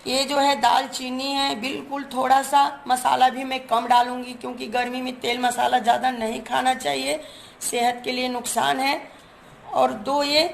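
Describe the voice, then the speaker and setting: A woman's voice: high-pitched, creaky, slight background noise. A woman, hosting a cooking channel and chatting through each step while she shows her ingredients in a home kitchen. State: hoarse from a cold.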